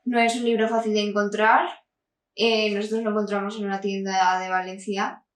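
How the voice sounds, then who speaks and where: A woman's voice: medium pitch, level tone, normal speed. Woman talking books on a channel, echoey, a bit far the mic. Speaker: book review channel co-host. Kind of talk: informal book review to camera. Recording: echoey room, distant mic, music behind.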